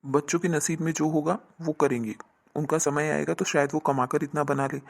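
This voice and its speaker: A man playing a stern, reproachful husband who lectures emphatically in an animated family moral drama. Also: fairly high pitch, a little fast, vocal fry. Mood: firm, resigned. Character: stern, reproachful husband defending his sister.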